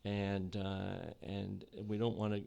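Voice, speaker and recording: A middle-aged man from New Jersey, talking in a casual interview in a measured way with many fillers and restarts. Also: low voice, level tone, gravelly, mellow, smooth, dull audio. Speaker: podcast guest, economic development board chair.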